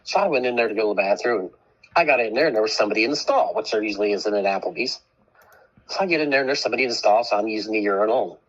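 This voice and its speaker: Radio co-host guy with a cold, bright sharp voice, slightly dull lo-fi sound, chatty and laughing. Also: on the low side, lively intonation, racing. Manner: chatty storyteller, laughs often. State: has a cold. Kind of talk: casual on-air chat.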